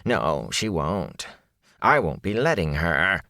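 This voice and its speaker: A man voicing a fearful, sly and boastful ragged peddler in an audiobook, with a rasp, a whine and folksy rustic phrasing. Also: sits low, very animated, slow pace, bright, forceful tone, no echo, studio quality, exaggerated voice. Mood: pleading, wary. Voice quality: raspy, sometimes dropping to a hoarse whisper.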